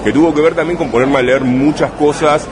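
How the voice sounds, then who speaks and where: A man from Rosario, Argentina, with a Rosario accent, an interviewed writer talking in a thoughtful, halting way full of restarts and tag questions. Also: fairly high pitch, fairly even intonation. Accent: Rosario Argentine Spanish. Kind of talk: relaxed conversational interview. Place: busy bar with street traffic behind. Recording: noisy crowded bar ambience. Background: crowd chatter and passing vehicles.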